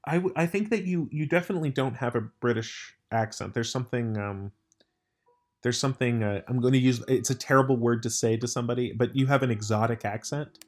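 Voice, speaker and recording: A man's voice: medium pitch, fairly quick. American guy rambling thru a chat, keeps restarting, fills with like and um. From United States. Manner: rambling, full of restarts and fillers. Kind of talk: casual group chat.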